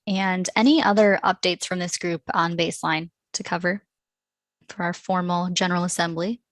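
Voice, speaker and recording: A woman's voice: lowish voice, fairly even intonation, moderate pace, dry recording. Woman hosting an online meeting, dry sound. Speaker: meeting host. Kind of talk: meeting moderation. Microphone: video call.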